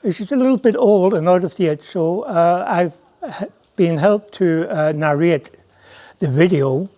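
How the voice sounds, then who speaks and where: Slow-spoken Irish man, slightly high voice. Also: mellow. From United Kingdom. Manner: slow, measured delivery with hesitant fillers when speaking freely. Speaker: narrator. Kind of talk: scripted narration and casual spoken message.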